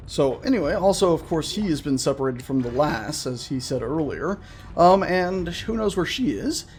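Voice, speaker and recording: A man's voice: fairly high pitch, expressive, moderate pace, darkish tone. A man giving casual, rambling let's play commentary, often interrupting himself with playful wording. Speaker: gaming video host. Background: game music.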